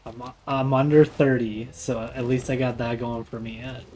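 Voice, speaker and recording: A man's voice: medium pitch, steady tone, moderate pace, dark tone. Joking young man on a noisy stream. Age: late twenties. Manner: joking, teasing, profane. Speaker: game streamer. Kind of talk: casual chat while gaming on a livestream. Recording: clearly noisy stream audio.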